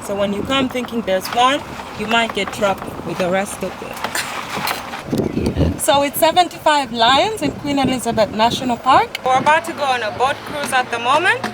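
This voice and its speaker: A woman's voice: high voice, normal speed, a loud crowd, poor audio. A young Ugandan woman with a Ugandan accent, narrating a travel vlog in a chatty way and laughing easily, recorded outdoors. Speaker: travel vlogger. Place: outdoors on safari, vehicle nearby. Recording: outdoor ambience and vehicle noise.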